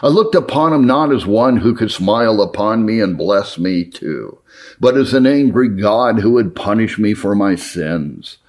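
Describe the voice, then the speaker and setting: A man with a low voice narrates an old formal letter. His intonation moves widely up and down, while his phrasing stays measured and earnest. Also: expressive, a little mellow. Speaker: narrator reading historical religious writings.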